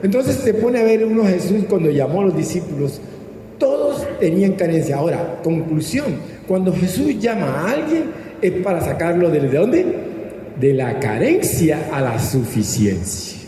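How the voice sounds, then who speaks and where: Man, Colombian accent, pastor's sermon, call-and-response teaching, mellow timbre, lively intonation, reverberant hall, faint noise. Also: high-pitched, normal speed. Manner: didactic, prompting listeners to repeat and answer. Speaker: pastor preaching. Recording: echoey hall with long reverb, background noise.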